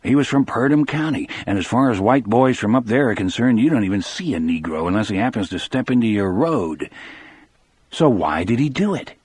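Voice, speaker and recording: A man's voice: on the low side, lively intonation, a little fast, a little mellow, husky. A man voicing a blunt, probing male prison guard in an audiobook. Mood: puzzled, probing. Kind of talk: audiobook character dialogue.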